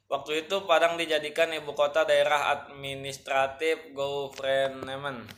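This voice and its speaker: A young man from Indonesia, a high school student in his late teens, reading a school report aloud in a stiff, recited way. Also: a bit bright. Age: late teens, young adult.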